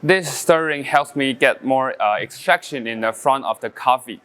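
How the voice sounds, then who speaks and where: Taiwanese barista, mid-pitched and clean-toned, relaxed demo delivery with pauses and fillers. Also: male voice, clean tone. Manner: halting non-native English with frequent fillers, explaining step by step. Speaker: coffee brewing champion. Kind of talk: casual brewing demonstration.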